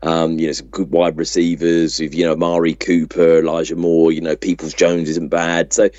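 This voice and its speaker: A deep-voiced man chats through sports analysis as a podcast co-host. His tone is mellow and dark. The recording is dry and a little dull. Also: level tone, moderate pace, no echo. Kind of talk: casual sports analysis chat. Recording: slightly dull, muffled audio.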